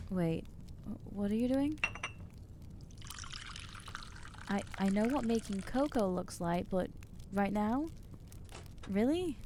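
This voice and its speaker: A woman's voice: medium pitch, background noise, lightly acted. A woman voicing an anxious young female vampire in an ASMR audio roleplay, talking in nervous, stammering, self-deprecating rambles. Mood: flustered.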